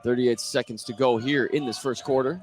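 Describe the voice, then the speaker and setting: A man's voice: mid-range voice, steady tone, brisk, a little hiss or hum. A man doing live play-by-play radio commentary of a basketball game. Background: indoor basketball game, bouncing ball.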